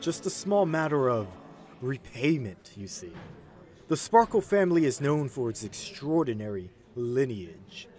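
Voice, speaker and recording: A man's voice: medium pitch, big pitch swings, a little slow, a little mellow, faint music behind. A man playing a pompous, scheming pony nobleman in an audio drama, speaking in a formal, condescending way. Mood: oily, flattering. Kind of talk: fan audio drama acting.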